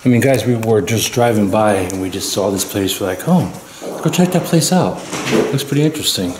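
A man's voice: on the low side, lively intonation, fairly quick. A man in his thirties exploring an abandoned house on a vlog, talking to viewers in an awed, chatty way. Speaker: urban explorer vlogger. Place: inside an abandoned house and garage. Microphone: handheld camera mic. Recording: echoey empty rooms with background noise.

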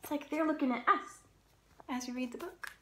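A young woman reading a picture book aloud for children, adding soft, gentle explanatory asides. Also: high voice, a little fast, a little hiss or hum. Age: young adult. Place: small bedroom, indoors. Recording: roomy echo of a bedroom.

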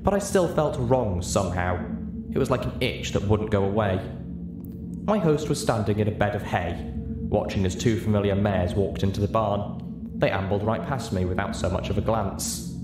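Lower-pitched man narrating a story. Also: animated, normal speed. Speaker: audiobook reader. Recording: roomy echo, music behind. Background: music.

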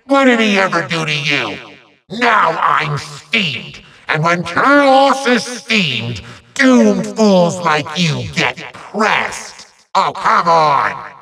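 A man voicing a bombastic, petulant little evil sorcerer from a video game, ranting and gloating in hammy, drawn-out threats with a gravelly, sharp voice. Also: high-pitched, expressive, distant mic, full character voice, poor audio. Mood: petulant, outraged. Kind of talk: theatrical video game villain monologue.

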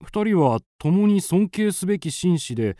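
An actor playing an earnest, easily astonished friend of a detective, with dramatic, halting radio drama delivery. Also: male voice, high-pitched, big pitch swings, mellow, dry recording, studio quality. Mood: puzzled, reading. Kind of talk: Japanese radio drama acting.

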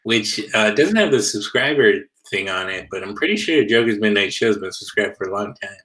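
A man with a fairly low voice co-hosts a show on a video call. He talks in loose, casual banter and reads out comments from viewers. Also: lively intonation, brisk, fairly bright tone, low-quality recording. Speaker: show co-host.

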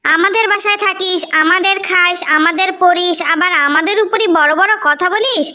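A woman's voice: very high voice, normal speed, sharp tone. A woman voicing a brash, spiteful young sister in a cartoon story, scolding haughtily with a Bangladeshi accent. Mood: outraged. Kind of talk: amateur cartoon story dubbing.

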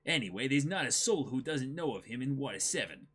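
A man's voice: medium pitch, animated, fairly quick, fairly bright tone, slightly rough. A man voicing a courteous, matter-of-fact young shipwright who talks like an older man. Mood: polite, businesslike. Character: courteous young shipwright with old-fashioned speech.

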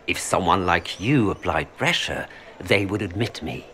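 A calculating merchant with a French accent. He is courtly and formal, his lowish voice gliding widely in pitch, over clear game ambience. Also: lively intonation, measured pace, sharp tone, a little hiss or hum, heavily acted. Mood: smooth bargaining. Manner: courtly, formal, smoothly unapologetic. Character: suave, calculating Orlesian merchant seeking noble influence. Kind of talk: video game dialogue acting.

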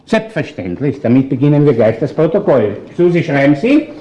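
Crime radio play: composed Austrian police inspector, dark tone, animated pitch, measured authority. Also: male voice. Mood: measured, official. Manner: measured, courteous but firm. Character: composed, shrewd male chief police inspector. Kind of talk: radio crime drama acting.

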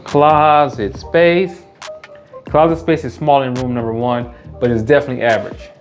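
A man's voice: medium pitch, steady tone, normal speed, faint music behind. A man gives a casual, upbeat house tour in bare indoor rooms, repeating his catchphrases. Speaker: realtor and house flipper. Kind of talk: walkthrough house tour vlog.

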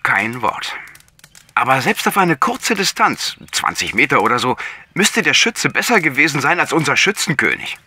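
A man's voice: mid-range voice, sing-song, normal speed, bright, forceful tone, husky, full character voice. An actor playing a talkative, matter-of-fact village policeman explaining things emphatically on the phone in a radio play. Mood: eager, informative.

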